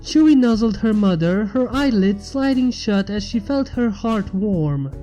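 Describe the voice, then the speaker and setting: A woman narrates a story from a script in a mellow, relaxed voice of medium pitch. Faint music plays behind her reading. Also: measured pace, rough recording. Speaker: fan fiction reader.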